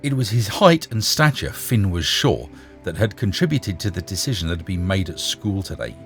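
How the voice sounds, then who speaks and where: A man narrates a short story in a very low voice. His delivery is animated, with wide swings in pitch. Faint music plays underneath. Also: moderate pace.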